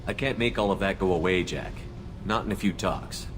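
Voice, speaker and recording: Male actor as human commander, low pitch, steady, direct, businesslike, sci-fi game dialogue, noisy background. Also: normal speed, natural voice. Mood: direct, probing. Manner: plain, businesslike questioning. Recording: loud background noise, game ambience.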